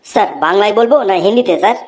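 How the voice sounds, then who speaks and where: A woman's voice: medium pitch, rapid-fire, darkish tone, close to an everyday voice. A woman voicing an earnest, cheeky Bengali schoolboy in a comedy cartoon, deferential and reciting like a pupil. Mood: cheeky, matter-of-fact. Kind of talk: comic cartoon voice acting. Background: music.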